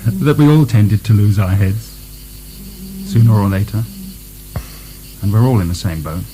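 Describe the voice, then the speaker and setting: A man's voice: fairly low pitch, lively intonation, unhurried, noisy background. A man acting in a radio drama as a weary, wry imprisoned French nobleman who speaks in a musing, hesitant way. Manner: hesitant, musing, wryly ironic.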